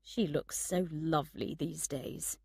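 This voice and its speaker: A woman's voice: fairly low pitch, takes it slowly, dry recording, clean studio sound. A woman voicing a sly, charming young man in an audiobook story. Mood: admiring. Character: sly, charming young man, privately smitten with his friend.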